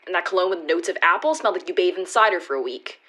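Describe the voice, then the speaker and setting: A woman playing an anxious, earnest girlfriend in a radio play, reasoning haltingly as she pleads to save a failing relationship. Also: on the low side, expressive, a bit bright. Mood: argumentative. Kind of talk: radio play acting, naturalistic dialogue.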